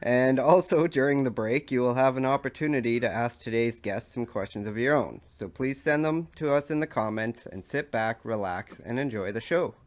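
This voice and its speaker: A man hosting a podcast while sick, his voice congested and rough. He reads the opening in a steady, prepared way, then slips into light banter. His pitch stays in an ordinary middle range. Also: moderate pace, hardly any pauses. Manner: rough-sounding. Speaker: podcast host. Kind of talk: read-out show intro, then casual banter.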